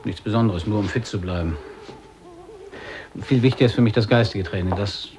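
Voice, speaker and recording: A man acting an earnest, reflective adventurer in a radio drama, speaking in a measured, introspective way. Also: on the low side, noisy background, close to an everyday voice. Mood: earnest, thoughtful. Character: earnest, reflective male adventurer setting out for the Pole.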